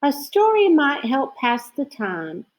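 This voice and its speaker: A dreamy storybook owl , read by a woman, wistful and sighing. The words come very slowly in a fairly high voice. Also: big pitch swings, heavily acted, low-quality recording.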